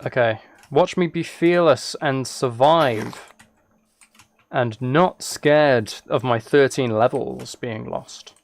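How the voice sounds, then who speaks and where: A man's voice: mid-range voice, slow pace. A man live streaming a game with casual, rambling, self-amused commentary, chatting as he plays indoors at a computer. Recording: clear background noise, keyboard and mouse clicks.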